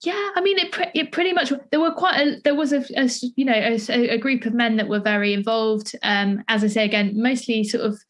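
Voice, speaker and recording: A woman's voice: on the high side, brisk, few pauses. A woman chatting as an interviewer in a tentative, heavily hedged way. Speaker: interviewer, theatre maker. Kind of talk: casual interview conversation.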